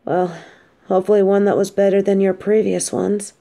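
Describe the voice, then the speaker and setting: A woman's voice: mid-range voice, level tone, normal speed, vocal fry, warm tone, close to an everyday voice. A woman playing an affectionate, teasing supervillain girlfriend in an intimate roleplay audio drama, speaking tenderly and playfully. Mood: sincere, apologetic.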